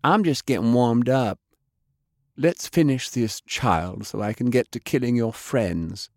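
A man's voice: medium pitch, unhurried, no echo, clean studio sound, voice pushed up, natural voice. A man voicing a brash, feisty young woman fighter, defiant and taunting, in an audiobook. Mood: cocky, threatening. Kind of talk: audiobook character acting.

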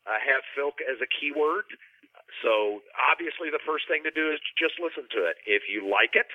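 Male panel guest, medium pitch, informal explainer, dry telephone-grade audio. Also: expressive, moderate pace, no room sound. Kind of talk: conversational explanation. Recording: dry, narrow telephone-like line.

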